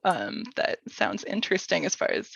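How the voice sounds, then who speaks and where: A woman's voice: mid-range voice, sing-song, moderate pace, vocal fry, a bit bright, husky, no echo, dull audio. A woman speaking off the cuff as the host of a casual panel, in an informal, joking and rambling way. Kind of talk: casual, unscripted panel chat.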